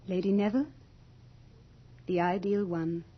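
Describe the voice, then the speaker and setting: An actress playing a young, beautiful female Death in a radio horror drama, theatrical and deliberate, capricious and longing to be mortal. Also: mid-range voice, steady tone, close to an everyday voice. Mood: solemn. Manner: theatrical, deliberate, breathy at moments. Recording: dull old radio drama with music.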